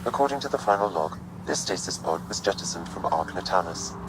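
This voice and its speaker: An actor playing a calm, precise male artificial intelligence in a video game, speaking in a measured, formal, unemotional way. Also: sits low, background noise. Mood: neutral. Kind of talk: video game cutscene acting.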